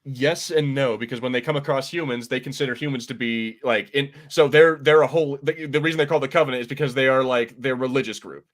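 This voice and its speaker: A man hosting a casual podcast discussion, explaining things in an informal, rambling and halting way. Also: mid-range voice. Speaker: podcast host. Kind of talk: casual pop-culture discussion, explaining game lore.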